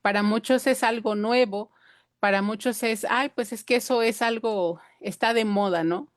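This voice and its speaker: A woman whose first language is Purépecha, giving a conference talk and pausing often with fillers and restarts. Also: mid-range voice, dry recording, clean studio sound. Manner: hesitant, many fillers and restarts. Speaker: invited speaker.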